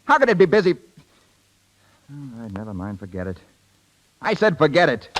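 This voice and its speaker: An actor playing a proud, fed-up young American husband in a 1930s radio drama. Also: male voice, fairly high pitch, sing-song, moderate pace, poor audio. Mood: agitated.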